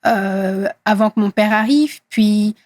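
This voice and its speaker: A woman in her thirties from Congo whose first language is Swahili, speaking earnestly in an interview with many hesitant pauses. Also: medium pitch, a little mellow, no echo, clean studio sound. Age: 30s. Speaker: guest activist. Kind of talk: interview conversation.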